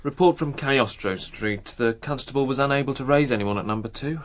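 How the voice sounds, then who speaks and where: An actor playing a respectful, matter-of-fact police sergeant reporting in a radio drama. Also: male voice, medium pitch, a little fast, close to an everyday voice. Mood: dutiful, reporting. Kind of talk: British radio drama acting.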